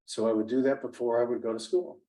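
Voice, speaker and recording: A man in his sixties whose first language is Italian, telling his life story as an interview guest in a halting way, with many restarts and fillers. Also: mid-range voice, fairly even intonation, mellow, poor audio. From United States. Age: 60s. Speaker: interview guest, business executive. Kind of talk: conversational storytelling.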